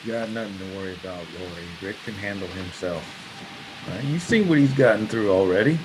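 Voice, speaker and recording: A man's voice: fairly low pitch, fairly quick, natural voice. A man playing a persistent survivor who pines for his friend's wife, coaxing and casual, in an online table read. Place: video call. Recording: noisy online video call.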